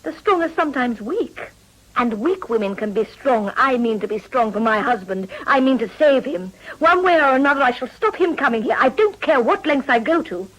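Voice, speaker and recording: A young upper-class wife in a period radio drama. Her voice is high and swings expressively as she speaks with formal, imperious resolve and devoted moral indignation. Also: lively intonation, normal speed, fairly bright tone, poor audio. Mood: fervent. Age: young adult.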